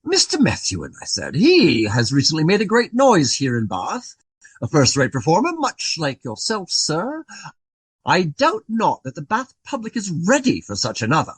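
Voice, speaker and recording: An actor playing a portly, garrulous, self-important Regency gentleman who tells his story in long-winded, genteel phrases for a radio play. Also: a little high, huge pitch swings, moderate pace, breathy edge, no echo, heavily acted. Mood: animated storytelling.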